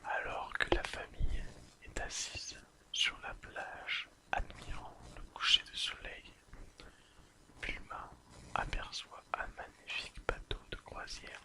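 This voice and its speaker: Casting: ASMR reader, whispers throughout, very slow and soothing delivery. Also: poor audio. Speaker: ASMR creator. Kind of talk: whispered ASMR reading of an episode summary.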